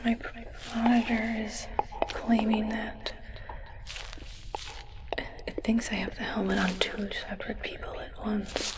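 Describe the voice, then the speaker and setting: A woman playing a soft-spoken, puzzled alien in an ASMR roleplay, speaking in a breathy whisper with a halting, measured clinical manner. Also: a little high, little pitch movement, heavy background noise, close to an everyday voice. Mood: perplexed, measured. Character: soft-spoken, puzzled alien implanting a translator in a human's brain.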